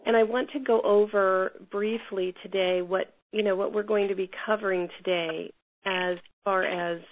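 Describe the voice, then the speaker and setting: A woman with a cold, sounding a bit hoarse, teaching an online class in a rambling way with lots of fillers and restarts. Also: medium pitch, fairly even intonation, normal speed. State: has a cold, a little hoarse. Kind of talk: teaching a class over a call. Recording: tinny phone line.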